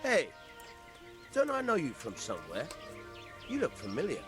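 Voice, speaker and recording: A hard-drinking combat instructor from a video game. He is blunt and jocular, with lively, swinging intonation and a mid-pitched voice, over prominent music. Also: normal speed. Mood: gruff mockery. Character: gruff, mocking hard-drinking combat master. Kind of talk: dramatic video game acting.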